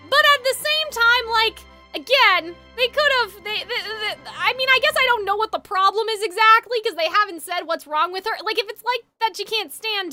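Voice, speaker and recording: A young woman giving casual, excitable live reaction commentary as a video game streamer, talking informally. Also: very high-pitched. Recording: game music behind voice. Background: game soundtrack and dialogue.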